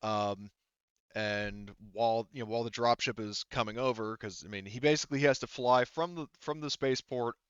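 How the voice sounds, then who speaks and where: A man narrates a tabletop game as its game master. His voice is smooth, at an average pitch, and he feels his way through sentences conversationally. The sound is dry and slightly muffled. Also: normal speed, dry recording. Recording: slightly dull close dry mic.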